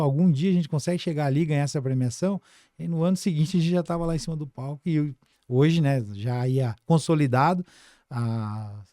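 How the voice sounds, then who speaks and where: A man's voice: on the high side, mellow, dry recording, clean studio sound. A Brazilian man speaking as a business guest on a casual podcast, colloquial and full of little tag questions. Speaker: podcast guest, financial services business director.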